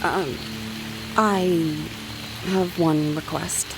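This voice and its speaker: A woman's voice: fairly low pitch, steady tone, very slow, poor audio. An actress playing an earnest, anxious young woman companion who pleads with emotion, in an audio drama. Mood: shy. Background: music.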